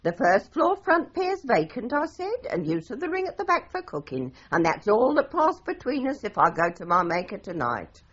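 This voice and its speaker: A woman's voice: a little high, sing-song, moderate pace, few pauses, fairly bright tone, muffled recording. An actress playing a garrulous, dramatic middle-aged English landlady in a radio drama, with florid, declamatory storytelling. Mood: dramatic recounting. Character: garrulous, dramatic London landlady, respectable and indignant. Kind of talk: 1980s radio drama acting.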